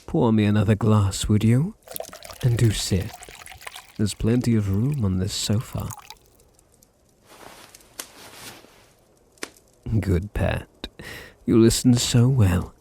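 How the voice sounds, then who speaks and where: A British man playing a possessive, teasing vampire master in an intimate ASMR roleplay, with a deep, husky, gravelly voice and a condescending purr. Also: a little hiss or hum. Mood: smug, possessive. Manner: slow, purring, condescending, softly teasing.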